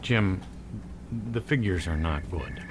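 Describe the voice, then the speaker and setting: An actor playing an anxious, deferential American company accountant in an audio drama, hesitant and placating. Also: male voice, low-pitched, animated, slow pace, background noise. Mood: worried, placating.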